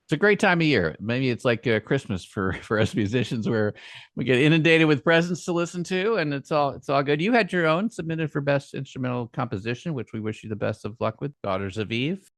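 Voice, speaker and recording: Male podcast host, easygoing and encouraging, medium pitch, dry booth sound. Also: fairly quick, no room sound. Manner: relaxed, encouraging, chatty with short affirmations. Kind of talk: conversational interview. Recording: dry close sound, faint music behind.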